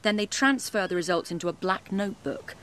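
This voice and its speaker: An actress with a British accent playing a sharp-tongued, capable undercover policewoman in a radio drama, brisk and self-assured. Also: mid-range voice, animated, lightly acted. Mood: tart, proud. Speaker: actor.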